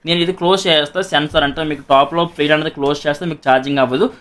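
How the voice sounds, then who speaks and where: A man's voice: a little high, rapid-fire, fairly bright tone. A man with a Telugu accent gives a casual product demonstration as a reviewer and seller, explaining repetitively and mixing in English words.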